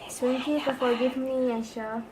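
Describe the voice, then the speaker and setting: A woman's voice: high-pitched, flat delivery, normal speed, darkish tone, slight background noise, close to an everyday voice, poor audio. A woman playing a cheeky, overconfident schoolgirl in an amateur puppet show. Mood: sheepish. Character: cheeky, overconfident schoolgirl who has not studied.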